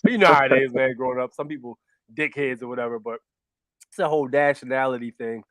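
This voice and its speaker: A man's voice: mid-range voice, quick pace, fairly bright tone, no echo, poor audio. A man hosting a casual chat, laid-back and slangy, swearing freely. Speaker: host.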